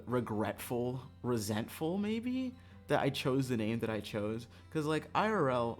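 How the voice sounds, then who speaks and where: A livestreamer chatting casually to viewers in an animated, exasperated way, swearing and laughing often. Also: medium pitch. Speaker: livestreamer, gaming streamer.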